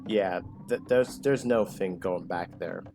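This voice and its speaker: A man giving casual, rambling commentary over his own gameplay, full of fillers and restarts, with a loud voice. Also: deep voice, slow pace, gravelly, noisy background. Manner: rambling, filler-heavy, self-correcting. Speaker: gaming channel host. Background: game music.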